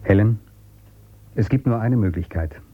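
An actor playing a stern, principled outsider in a radio drama, speaking in a guarded way. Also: male voice, lowish voice, unhurried. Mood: firm. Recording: dull, lo-fi radio sound.

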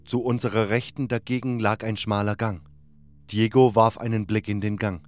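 A man's voice: fairly low pitch, little pitch movement, normal speed. A man narrating a fantasy audio drama from a script as a first-person storyteller. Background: prominent music.

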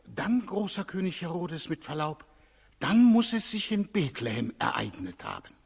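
A croaky, obsequious high priest. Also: male voice, sing-song, heavily acted. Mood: obsequious, careful. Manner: deferential, solemn, ceremonious, halting. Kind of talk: 1960s German radio play acting. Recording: 1960s radio recording, reverberant hall.

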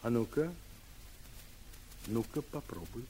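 A man's voice: mid-range voice, expressive, takes it slowly, dark tone, a little hiss or hum, pitched below the performer's own voice. A man voicing a skeptical but kindly military commander in a children's audio tale. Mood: pleased, curious.

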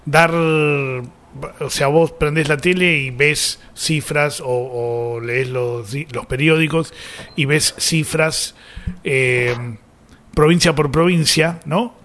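A man's voice: on the high side, steady tone, slow pace, fairly bright tone. A man with a Rioplatense Argentine accent co-hosting a radio show, chatting casually in a teasing, bantering way. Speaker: radio co-host.

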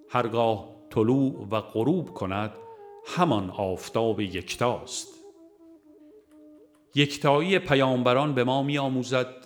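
A man narrates a religious audiobook in a measured, formal reading manner. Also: mid-range voice. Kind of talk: scripted narration of a religious book.

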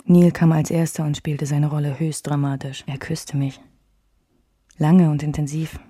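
A woman's voice: low-pitched, little pitch movement, a little slow, dark tone, slightly rough, no room sound. An actress playing a dry, observant woman recalling her lover, narrating with understatement in a German radio drama. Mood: dry, wistful.

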